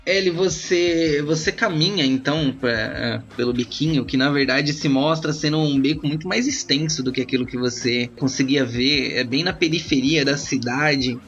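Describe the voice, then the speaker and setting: A man acting as game master, improvising roleplay narration in an informal, conversational, halting way. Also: a little high, a bit bright. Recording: slightly muffled, band-limited audio with music. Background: background music.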